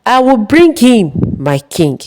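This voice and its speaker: Woman as loyal folktale warrior, humble, earnest, deferential, mellow voice, measured pace. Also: on the high side, big pitch swings. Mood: dutiful.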